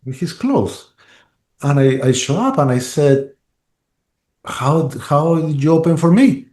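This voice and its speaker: A man's voice: fairly high pitch, sing-song, a little slow, a little mellow. A man. He talks in loose, rambling runs full of restarts and "right?" tags. His voice sits in a roomy, echoing space. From Chile. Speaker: guest. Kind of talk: podcast interview.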